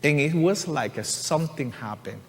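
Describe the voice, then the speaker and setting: A man's voice: a little high, animated, normal speed, fairly bright tone. A man from Venezuela, a church musician giving an earnest, conversational personal testimony to a congregation, often saying "you know" and "right?". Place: church sanctuary. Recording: long reverb of a large church hall.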